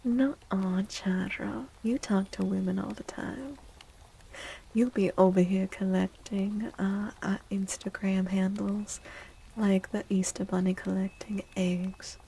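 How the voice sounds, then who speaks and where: A woman host speaking softly and gently in a livestream ASMR style, breathy and often slipping into a whisper, drawing out her words. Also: mid-range voice, level tone, low-quality recording. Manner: slow, gentle, drawn-out words. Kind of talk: soft-spoken ASMR livestream chat.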